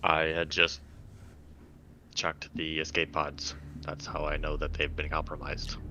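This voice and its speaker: A man voicing a grave, formal doctor in a tabletop roleplaying game, with stiff, measured wording. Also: extremely low pitch, monotone, pushed and bright, a little hiss or hum, full character voice. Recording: music playing behind.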